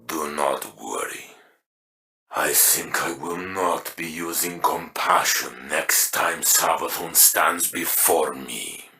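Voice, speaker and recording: A man's voice: a bass voice, slow pace, pushed and bright, heavily acted. A man voice actor playing a stern, loyal, grave warrior in a video game.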